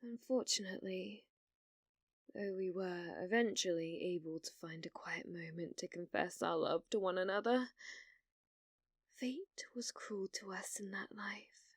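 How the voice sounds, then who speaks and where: A woman's voice: lowish voice, a little slow, no echo, clean studio sound. A woman playing a delusional, obsessive young woman who believes she is a reincarnated demon lord, speaking in grand, archaic phrases in an ASMR roleplay. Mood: sorrowful. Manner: grandiose, archaic, theatrical phrasing with flustered stammers.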